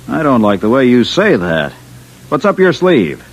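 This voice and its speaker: A man's voice: medium pitch, huge pitch swings, unhurried, exaggerated voice. An actor playing a wry, wary engineer who narrates his own adventure in an old-time radio drama. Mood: wary, skeptical. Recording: vintage radio with music underneath.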